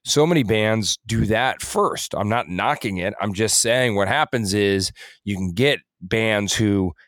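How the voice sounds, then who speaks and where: A man co-hosting a podcast in casual conversation, speaking in a halting, rambling way with frequent self-corrections. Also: on the low side, a bit bright, dry recording, clean studio sound. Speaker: podcast co-host.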